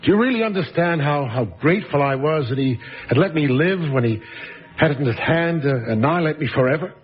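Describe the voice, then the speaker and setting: A man's voice: fairly high pitch, lively intonation, a little fast, dull audio, soft background music, lightly acted. An actor playing a wry, reflective former novelist in a radio drama, musing over his past.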